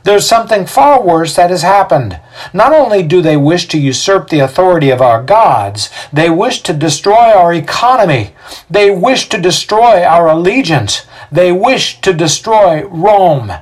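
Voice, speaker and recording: A man's voice: high voice, lively intonation, unhurried, pitched above the performer's own voice. A man voicing a scheming mob leader in a story, indignant and declamatory. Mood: rousing alarm. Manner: declamatory, theatrical oratory. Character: male mob leader, scheming indignant rabble-rouser accusing prisoners.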